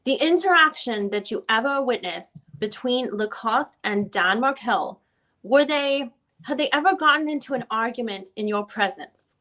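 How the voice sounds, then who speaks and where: A woman playing a female lawyer takes a witness through a deposition. Her voice is fairly high and sharply bright. She questions persistently and methodically, restarting and rewording as she goes. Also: lively intonation, normal speed, poor audio. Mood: pressing, methodical. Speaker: reader playing a deposing attorney. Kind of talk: dramatic reading of a deposition transcript.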